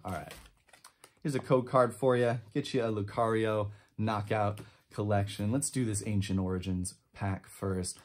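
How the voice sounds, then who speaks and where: A man in his twenties giving casual, enthusiastic pack-opening commentary, chatty and quick to drop short exclamations. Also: lowish voice, darkish tone. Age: 20s. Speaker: trading card channel host. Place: indoor room with shelves of collectibles.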